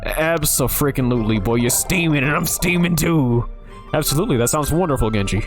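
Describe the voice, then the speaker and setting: A man's voice: on the high side, animated, brisk, over music. Jokey young man playing a game. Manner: jokey, profane, mock-awkward. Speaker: gaming video host. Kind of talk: casual gameplay commentary and reading.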